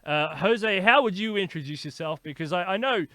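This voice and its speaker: A man hosting a podcast interview, asking long, animated questions with frequent stammers and restarts. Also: high voice, moderate pace, no room sound. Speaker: podcast host.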